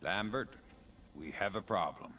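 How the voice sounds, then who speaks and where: An actor playing a calm, hardened spy in a video game, speaking tersely and coolly. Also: male voice, low voice, unhurried, heavy background noise. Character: calm, hardened male covert spy operative in position. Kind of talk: video game cutscene acting. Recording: loud background music.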